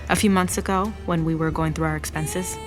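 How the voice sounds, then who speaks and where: A woman's voice: low-pitched, steady tone, fairly quick, over music, lightly acted. A woman playing an exhausted restaurant owner in a romantic audio drama, earnest, emotional and defensive. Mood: weary, defeated.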